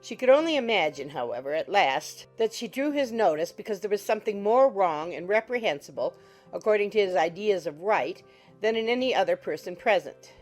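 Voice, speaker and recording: A woman's voice: medium pitch, animated, brisk. A woman reading scripted literary narration as an audiobook narrator. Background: soft music.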